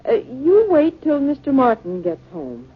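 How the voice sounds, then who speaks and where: A woman's voice: high voice, wildly swooping pitch, unhurried, heavily acted. A woman playing an anxious, squeamish professor's wife who loathes insects, in an emotive 1950s radio drama style. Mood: reluctant. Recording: dull, band-limited 1950 radio recording.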